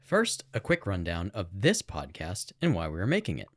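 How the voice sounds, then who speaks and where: A man's voice: deep voice, wildly swooping pitch, moderate pace, no echo, studio quality. A man co-hosting a podcast in casual chat, rambling conversationally through his explanations. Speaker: podcast co-host. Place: house room with improvised soundproofing. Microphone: own podcast microphone on a boom arm.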